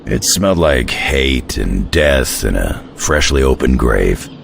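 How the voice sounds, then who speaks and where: An actor playing a gruff, cocky supernatural hero in a video game, with a gravelly growl and a wry, slangy delivery. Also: male voice, rumbling low, lively intonation, takes it slowly, background noise, full character voice. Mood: cocky, joking. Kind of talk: video game cutscene acting.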